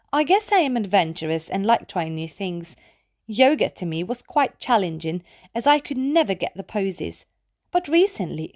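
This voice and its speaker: A woman reading children's poetry aloud from a script in a measured, deliberate, clearly enunciated way. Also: medium pitch, a little mellow, no room sound, clean studio sound.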